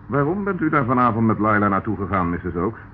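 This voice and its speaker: An actor playing a brisk, insistent police inspector in a radio play, firm, commanding and businesslike. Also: male voice, fairly low pitch, very animated. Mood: firm, pressing. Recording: narrow, tinny old radio recording, faint noise.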